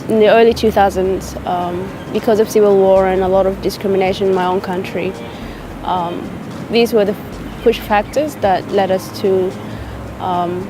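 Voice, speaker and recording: A woman's voice: mid-range voice, noisy background. A young woman, speaking thoughtfully in a documentary interview outdoors in a park. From South Sudan. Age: young adult.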